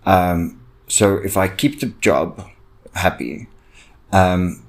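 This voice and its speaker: A young man whose first language is Afrikaans, hosting a solo podcast, talking in a halting, anxious, self-reflective way with many ums and restarts. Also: low-pitched. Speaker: podcast host. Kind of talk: solo personal podcast rant.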